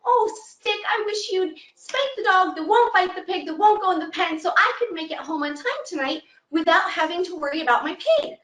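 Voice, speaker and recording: A woman voicing a fretful old woman who begs and scolds her animals, in a high singsong. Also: expressive, moderate pace, few pauses, a bit bright, reverberant, recorded from a distance. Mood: pleading. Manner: high pleading singsong repetition.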